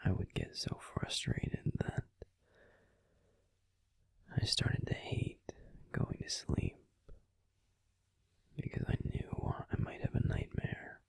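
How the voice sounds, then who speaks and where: A man playing a grumpy but tender werewolf boyfriend in an intimate sleep-aid audio roleplay, confiding casually in a breathy, husky, unhurried whisper, close to the microphone. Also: darkish tone, no room sound, natural voice. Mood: confiding, subdued. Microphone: close microphone, dry.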